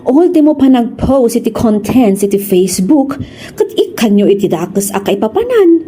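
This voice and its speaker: A woman's voice: fairly high pitch, sing-song, moderate pace, warm tone, a little hiss or hum. A woman with an Ilocano Filipino accent acting an aggrieved younger woman who talks back reproachfully in a radio comedy drama.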